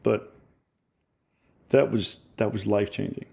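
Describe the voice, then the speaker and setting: A man in his forties with a Scottish accent softened by years in Ohio, a musician chatting in an interview and telling rambling, enthusiastic stories with self-mocking laughs. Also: on the low side, steady tone, unhurried, gravelly, breathy edge. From Scotland. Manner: rambling, enthusiastic storyteller who laughs at himself. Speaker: musician guest. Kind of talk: casual interview chat.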